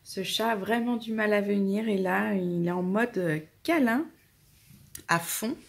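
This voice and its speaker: A young French woman in her late twenties talks casually to her viewers, rambling from one thing to the next in a relaxed vlog. Her voice has a gravelly, fry-tinged edge at mid pitch, and she drops into soft cooing when she addresses her cats. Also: measured pace. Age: 20s. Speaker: family vlogger. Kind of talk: casual chat to camera.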